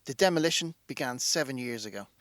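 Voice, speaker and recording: An Irish actor playing a matter-of-fact radio newsreader delivering a scripted bulletin. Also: male voice, medium pitch, lively intonation, fast, fairly bright tone, dry recording, lightly acted. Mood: formal, neutral.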